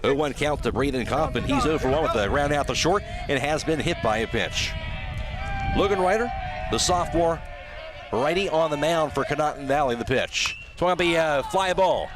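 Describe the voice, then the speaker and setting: An American man works as a radio play-by-play announcer at a baseball game. His voice sits a little high for a man. He calls the action in short, clipped phrases as each pitch happens. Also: lively intonation, normal speed. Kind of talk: live radio play-by-play commentary.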